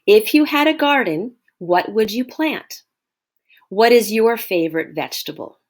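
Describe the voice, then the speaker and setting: A woman's voice: a little high, big pitch swings, a little slow. A woman schoolteacher reading a children's storybook aloud, expressively, and addressing her young listeners with explanations. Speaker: elementary school teacher.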